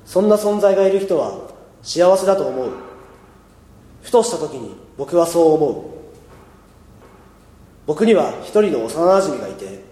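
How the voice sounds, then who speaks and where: Guy as a laid-back high school boy in a radio drama, highish voice, dry sarcasm, echoey. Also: animated, a little slow, pauses often, warm tone. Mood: wry, reflective. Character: laid-back, wry teenage high school boy narrating his life. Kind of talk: amateur radio drama narration and acting. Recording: echoey, long reverb.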